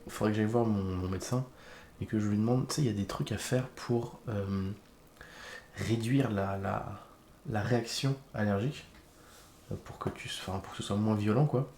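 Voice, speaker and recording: A man's voice: fairly low pitch, moderate pace, darkish tone, roomy sound, a little hiss or hum. A man streamer with a head cold, chatting casually on a live stream and rambling with lots of hesitations. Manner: rambling, hesitant, with many fillers. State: congested with a cold.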